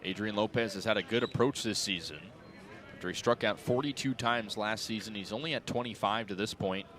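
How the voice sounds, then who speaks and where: A man's voice: on the low side, fairly quick. A young man doing live radio baseball play-by-play in short, clipped, descriptive phrases, his energy following the action on the field. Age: young adult. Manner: clipped descriptive phrasing, rising excitement on plays. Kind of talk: live radio sports commentary.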